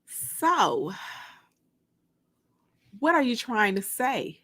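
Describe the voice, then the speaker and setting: A woman's voice: on the high side, big pitch swings, extremely slow, a bit bright, exaggerated voice. A woman voicing a playful, coy, flirtatious female character in an audiobook story, teasing as she talks. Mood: teasing, flirting. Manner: coy, teasing, plays dumb.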